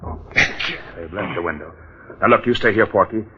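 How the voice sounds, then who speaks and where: Radio-mystery cop: commanding, urgent, sharp husky voice of middle pitch, dull vintage recording. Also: male voice, normal speed, a little hiss or hum. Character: brisk, bold, commanding male police investigator. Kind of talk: old-time radio mystery acting.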